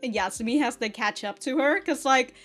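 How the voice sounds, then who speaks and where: A woman's voice: sits high, a little fast, fairly bright tone. A young adult woman giving a casual, rambling review as a reaction host, informal and giggly in her retellings. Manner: frequently swearing, informal fan slang. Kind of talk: casual rambling episode review with acted-out quotes.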